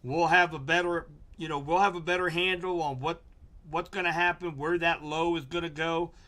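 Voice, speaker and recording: A middle-aged man with a hoarse, fading voice, slowly walking viewers through weather charts on a live stream. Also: a little high, steady tone, bright, forceful tone. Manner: slow, explanatory, walks viewers through charts. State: hoarse, losing his voice. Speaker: local weather forecaster.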